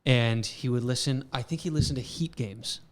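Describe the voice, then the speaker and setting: A man's voice: mid-range voice, normal speed. A young man in his twenties co-hosting a casual podcast, rambling with lots of fillers. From South Florida. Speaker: podcast co-host. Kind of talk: casual chat.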